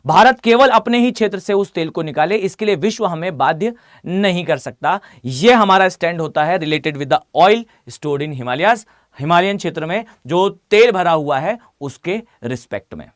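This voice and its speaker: A hoarse man with an Indian accent teaching a live online class, often asking students to reply. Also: sits high, animated, moderate pace, no echo, clean studio sound. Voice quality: mixes Hindi with English phrases. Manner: addresses students directly, asks them to reply.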